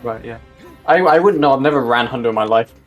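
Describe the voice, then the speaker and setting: A man with a loud, booming voice doing casual speedrun commentary, rambling with lots of fillers, his mic at forearm's length. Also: quick pace, dull audio, over music. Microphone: desk mic at forearm's length.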